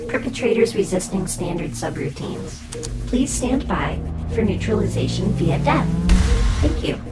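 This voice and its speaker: A woman's voice: lowish voice, animated, a little slow. A woman voicing a small corporate security drone in a sci-fi audio drama, formally polite while delivering scripted threats. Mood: polite menace. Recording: echoing voice under loud music.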